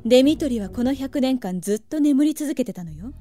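A woman playing a proud, seductive dark-world noblewoman in an audio drama, composed and imperious. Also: sits high, lively intonation, brisk, over music. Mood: cool, poised. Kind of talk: Japanese audio drama acting.

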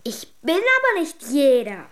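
A woman's voice: high voice, wildly swooping pitch, unhurried, a bit bright, full character voice. An actress playing a sceptical, down-to-earth young girl in a children's radio drama, blunt and sometimes hesitant.

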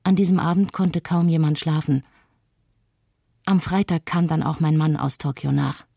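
A low, mellow female voice, recorded dry, plays a frightened young mother acted by a woman. She gives a calm, reflective testimony as a radio play monologue. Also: moderate pace, gravelly, dry recording. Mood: subdued, reflective. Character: frightened young mother recalling fleeing with her daughter.

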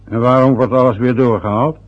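A man's voice: mid-range voice, steady tone, a little fast, natural voice. An actor as a grumpy sarcastic father. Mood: gruff. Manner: gruff, sarcastic, resentful. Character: grumpy bitter middle-aged father. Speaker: father, church sexton. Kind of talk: radio play acting. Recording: dull old radio play recording.

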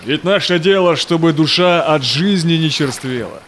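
A resolute Cossack explorer in a verse audio drama. His voice is slightly high and very animated, declaiming in rhyme. Clear noise runs behind him. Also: normal speed. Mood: earnest, urgent. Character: Semyon Dezhnev, resolute loyal Cossack explorer, devoted husband.

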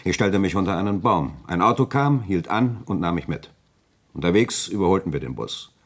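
A suave provincial notary talks in a low register. He is articulate and self-assured, with an urbane, slightly condescending manner in radio drama style. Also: lively intonation, normal speed, slightly rough. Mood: smooth, explaining. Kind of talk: German radio play acting.

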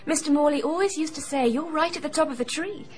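A woman's voice: high-pitched, quick pace, soft background music, lightly acted. An actress playing an admiring, chatty woman in a radio drama, eager and respectful. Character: admiring, chatty woman defending a famous detective.

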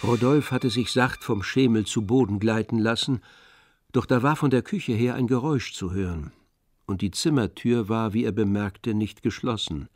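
A man narrating a literary radio drama from a script, measured and composed. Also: on the low side, dry recording.

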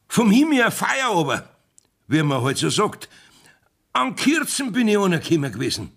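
An actor playing a sly, evasive, beer-loving village judge in a Bavarian dialect radio play, with a folksy, rambling, blustering manner. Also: male voice, on the high side, gravelly, a bit bright, slightly rough, exaggerated voice. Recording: 1970s radio drama studio recording.

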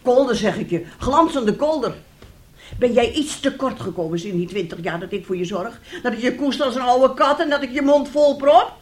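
A woman's voice: fairly high pitch, lively intonation, moderate pace, close to an everyday voice. An actress in a radio drama playing a bitter, sarcastic middle-aged wife and mother who talks reproachfully and theatrically. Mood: reproachful, scornful. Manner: reproachful, sarcastic, dramatic, swinging between hushed and loud. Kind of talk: radio drama acting in Dutch.

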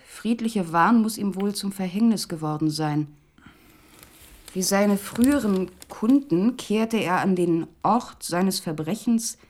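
A woman acting as a sober narrator in a German radio crime play, recounting an old case in a measured, literary way. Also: fairly low pitch, darkish tone.

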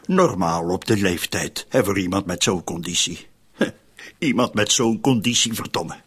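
An actor playing a shady, scheming man in a radio drama, conspiratorial and expressive, with a raspy voice. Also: low-pitched, a little slow, full character voice. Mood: rueful, exasperated. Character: shady, scheming man plotting with a female accomplice.

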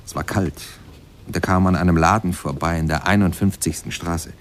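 An actor playing the narrator of a radio ballad, addressing listeners directly in short, deliberate sentences. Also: male voice, low-pitched, a little hiss or hum, close to an everyday voice. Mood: grave storytelling. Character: storytelling male narrator of a jazz musician's sad life.

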